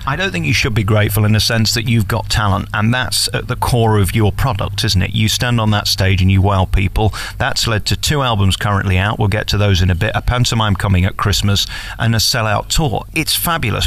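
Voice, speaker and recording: A man's voice: fairly low pitch, fairly quick, gravelly, fairly bright tone. An English man hosting a conversational celebrity interview, complimentary and effusive with his guest. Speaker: interviewer, radio host.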